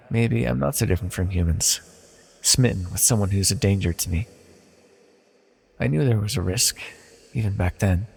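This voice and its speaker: Man playing a gravelly, echoing banished villain god. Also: fairly low pitch. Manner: slow, formal, measured, confessional. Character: banished male villain deity, ancient, proud, wistful, tenderly smitten. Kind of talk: scripted fantasy audio roleplay, intimate monologue. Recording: long reverberant hall echo.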